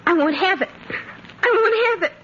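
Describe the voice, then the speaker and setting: An actress playing a clingy, brooding young daughter in a 1950s radio drama, with a childlike, plaintive, dramatic delivery. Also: female voice, extremely high pitch, animated, normal speed, dull audio. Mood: bitter, hateful. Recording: scratchy, band-limited vintage radio recording.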